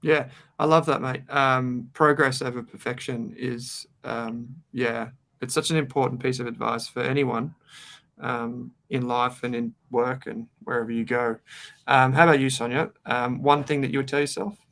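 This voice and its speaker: Gravelly man hosting an interview. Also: medium pitch, normal speed. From Australia. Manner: relaxed, says mate. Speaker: podcast host.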